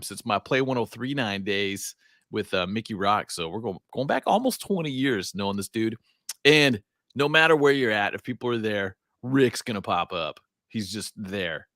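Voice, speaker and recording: A man's voice: mid-range voice, no room sound, studio quality. A man co-hosting a podcast, chatting casually, laughing often and hyping up the guest. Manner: animated, laughs often, hype-man intros. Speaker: podcast co-host. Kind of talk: casual chat.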